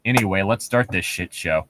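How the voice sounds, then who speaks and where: A man's voice: deep voice, moderate pace, noisy background. A man co-hosting a comedy show, bantering casually and mockingly reading internet stories aloud in a sarcastic, sweary way.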